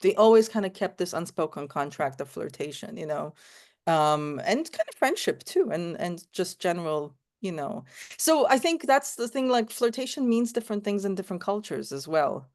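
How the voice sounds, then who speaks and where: A woman from Romania with a fairly low voice speaks in a relaxed panel discussion. She tells personal stories thoughtfully, often pausing on "you know" and restarting her sentences.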